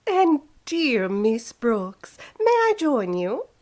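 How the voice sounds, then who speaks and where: A woman acts a catty, scheming rival in an old radio comedy. She speaks slowly, with syrupy condescension and big melodic swoops. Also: fairly high pitch, big pitch swings, a little mellow, heavily acted. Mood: gushing, flirtatious. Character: catty, scheming, falsely sweet female rival teacher. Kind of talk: vintage radio comedy acting.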